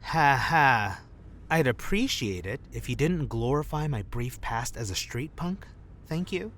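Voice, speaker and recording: A man acts a sardonic undercover agent in a crime audio drama. His mid-range voice is measured and dry, with faint ambient noise behind it. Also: medium pitch, lively intonation, fairly bright tone. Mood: dry, guarded. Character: dry-witted male undercover government agent, former street punk.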